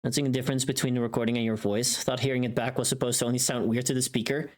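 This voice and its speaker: A woman's voice: extremely low pitch, level tone, rapid-fire, a little mellow. A woman chatting casually and candidly on a livestream while she works on recording, talking to her viewers. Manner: candid, swears casually, reads and answers chat. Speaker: live streamer.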